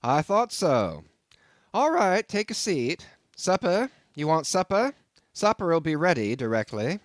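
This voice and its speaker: A man's voice: on the high side, big pitch swings, measured pace, no echo, studio quality, pitched above the performer's own voice. A man voicing a jovial, sly innkeeper in an audiobook, with rustic dialect and a hearty, teasing manner. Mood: brisk, hearty. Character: jovial, sly, folksy old-time innkeeper landlord. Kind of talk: audiobook character voice.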